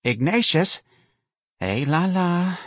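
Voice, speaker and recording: A man's voice: medium pitch, normal speed, no room sound, studio quality, voice pushed up, natural voice. Man putting on a weary New Orleans mama. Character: complaining working-class New Orleans mother.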